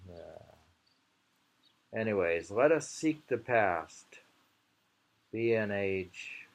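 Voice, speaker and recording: A man reading poetry slowly and commenting on it casually, in an unhurried, musing and informal manner. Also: low-pitched, creaky. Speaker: poetry reader.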